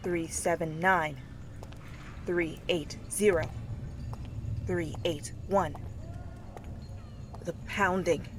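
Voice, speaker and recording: A woman reading fan fiction aloud as an expressive second-person narrator in an ASMR style. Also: lowish voice, extremely slow, pauses often, noisy background. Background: faint music.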